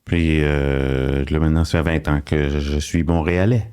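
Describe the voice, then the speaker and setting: A man with a Quebec French accent, a guest chatting casually and pausing often. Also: very deep voice, fairly even intonation, a little mellow, smooth. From Quebec, Canada. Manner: hesitant, with frequent pauses. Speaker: guest comedian. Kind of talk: casual chat.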